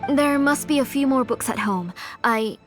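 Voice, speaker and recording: A composed, formal aunt with a fairly high voice and a controlling edge, acted by a woman for a video game. Her words are polite and measured, with faint music underneath. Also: close to an everyday voice. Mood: defensive.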